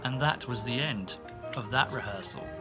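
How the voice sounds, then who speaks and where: A man reading a humorous first-person memoir as an audiobook, in an expressive storytelling manner. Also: medium pitch, moderate pace, over loud music. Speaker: audiobook narrator.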